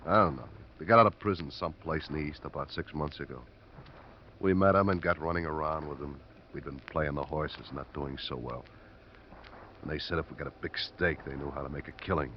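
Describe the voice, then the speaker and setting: An American actor playing an evasive, jittery bartender mixed up in crime in a 1950s radio drama. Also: male voice, very deep voice, a little fast. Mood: defeated. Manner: defensive, evasive. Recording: muffled, hissy old radio recording.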